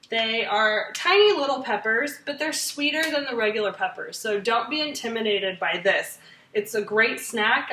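A woman's voice: on the high side, background noise. An American woman in her thirties giving a casual, chatty, informal cooking demo to camera in her home kitchen, as a fitness host. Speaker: fitness gym trainer hosting a meal prep series. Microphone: camera mic a few feet away. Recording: echoey kitchen, mic at a distance.

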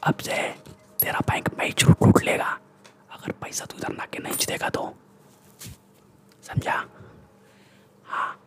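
A young man playing a swaggering, bullying Mumbai gangster in a breathy ASMR whisper, with slangy, boastful Mumbai Hindi speech, close to the microphone. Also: a little slow, pauses often, a bit bright, natural voice. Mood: scheming. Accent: Mumbai Hindi street slang. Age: young adult. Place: small room indoors. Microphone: close desktop condenser microphone.

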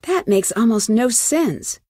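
An inquisitive young female sleuth in a video game. Her voice sits fairly high and she speaks earnestly and clearly, with determination. The recording is dry and close, like studio game dialogue. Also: expressive, slow, mellow, dry recording. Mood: wry, casual. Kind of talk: scripted game dialogue, isolated lines.

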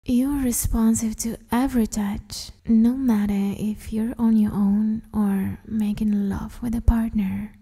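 A woman leads a guided meditation in a very dark, velvety voice that often slips toward a whisper. Her delivery is slow and soothing. She keeps her intonation even as she gently gives instructions. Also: on the high side. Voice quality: soft, breathy, half-whispered.

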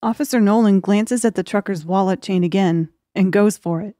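A woman narrates a story in a scripted audiobook style. Her voice sits at a middle pitch. The recording is dry, with no room sound. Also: normal speed, mellow, no echo.

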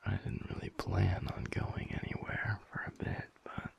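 A man playing a teasing, affectionate alpha werewolf boyfriend in an intimate audio roleplay, speaking in a breathy, husky near-whisper. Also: close to an everyday voice. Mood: playful teasing. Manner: playful teasing with soft chuckles and unhurried pauses.

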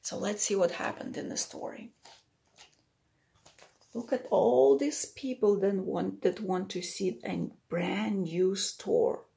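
A woman's voice: mid-range voice, steady tone, takes it slowly, creaky, warm tone. A middle-aged woman reading a picture book aloud to young children, explaining it simply and putting questions to the listeners. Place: small plain room indoors.

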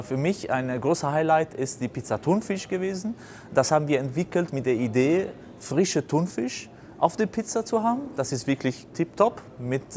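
A man's voice: fairly high pitch, darkish tone. A man in his forties from southern Italy with an Italian accent, a native Italian speaker answering interview questions and often searching for words. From Basilicata, southern Italy. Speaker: restaurant operator, interviewee. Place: indoors in a restaurant bar. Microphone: lapel mic. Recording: clear background noise, restaurant ambience.

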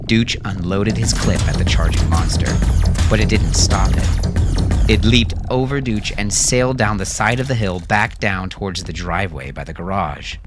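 A man narrating a scripted fiction story as a dramatic audiobook reader. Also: deep voice.

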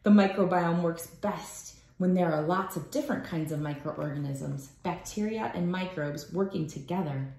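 A woman's voice: lowish voice, normal speed, vocal fry, few pauses, warm tone. Woman reading aloud in an echoey room. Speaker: children's book read-aloud host. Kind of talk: reading a picture book aloud. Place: small room at home, indoors.

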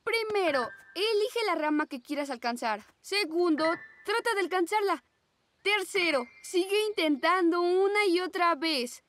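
Very high bossy pug boy. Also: expressive, measured pace, fairly bright tone, a little hiss or hum, exaggerated voice. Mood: know-it-all. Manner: instructive, emphatic. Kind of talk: preschool cartoon dub acting. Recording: music behind the voice.

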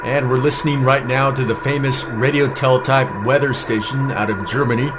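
A man's voice: medium pitch, fairly even intonation, fairly quick. A man with an American accent giving an informal hands-on demonstration as a video host. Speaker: amateur radio video host. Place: indoor home radio desk. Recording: clear background radio static and signal noise. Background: shortwave receiver noise and signals.

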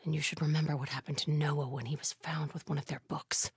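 An actress playing a devout, stern, vigilant cult scout in a video game, speaking in a hushed, breathy, solemn way. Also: female voice, low-pitched, flat delivery, fast, no echo, studio quality. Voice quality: breathy, hushed, often whispery. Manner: solemn, guarded.

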